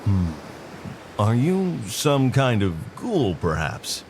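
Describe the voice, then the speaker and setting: A man's voice: fairly low pitch, big pitch swings, takes it slowly, noisy background. A man playing an eccentric, chatty FBI agent in an audio drama, with formal, articulate and quirky speech. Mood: dry, probing. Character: eccentric, chatty male FBI agent dictating to tape recorder.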